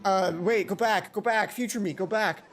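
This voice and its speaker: An excitable, informal video game commentator who often breaks off and interrupts their own thoughts while narrating a playthrough. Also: mid-range voice, moderate pace, over music. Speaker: let's play host. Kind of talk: game commentary and read-aloud of a visual novel.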